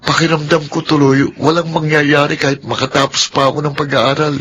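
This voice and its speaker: A young Filipino son in a radio drama, bright and edgy in tone against noticeable noise. He is sensitive and insecure, deferential yet plaintive. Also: a little high, flat delivery, fairly quick. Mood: hurt, defensive. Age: young adult. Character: sensitive, bullied eldest son, insecure and respectful.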